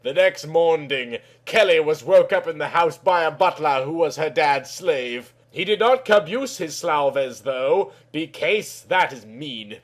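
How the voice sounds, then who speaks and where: A man with a very high voice narrates a storybook tale. The delivery is slow and naive, with childlike phrasing and mangled words. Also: animated.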